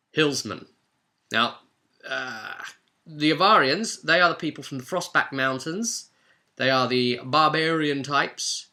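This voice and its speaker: A man with a very bright, forceful voice at a middle pitch, narrating a tabletop role-play recap as its host. He tells it informally and expressively, like a game master talking straight to viewers. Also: vocal fry. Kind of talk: animated storytelling recap to viewers.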